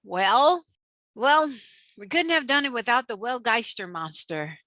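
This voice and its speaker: A woman's voice: on the high side, sing-song, a little slow, sharp tone, dry recording, full character voice. A woman voicing a confident, level-headed male race car in a children's storybook, upbeat and plain-spoken. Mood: thoughtful.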